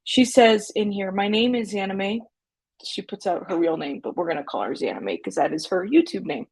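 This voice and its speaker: Raspy low-key woman doing youtube commentary, lots of ums, slow. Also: lively intonation, dry recording. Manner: unhurried, many fillers and restarts. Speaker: commentary channel host. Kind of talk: casual commentary and reading aloud.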